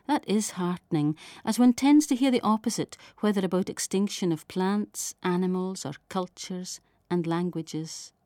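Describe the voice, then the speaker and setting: A woman's voice: fairly low pitch, moderate pace, hardly any pauses, mellow, no echo, clean studio sound. A woman with a Shetland Scottish accent reading her own literary writing aloud, as a poet and letter writer.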